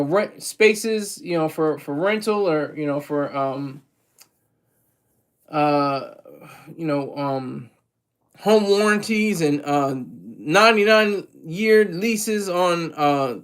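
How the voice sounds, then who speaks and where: Guy with a kinda high voice just rambling on his stream, talking to chat, laughing here and there. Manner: loose, rambling, with frequent restarts and chuckles. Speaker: livestream host reading chat. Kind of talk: casual livestream chat.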